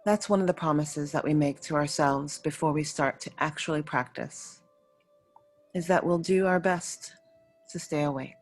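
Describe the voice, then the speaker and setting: A woman with a low-pitched voice leads a guided meditation over faint music. She speaks as a yoga nidra teacher, giving soothing instructions in short, unhurried phrases and leaving pauses between them.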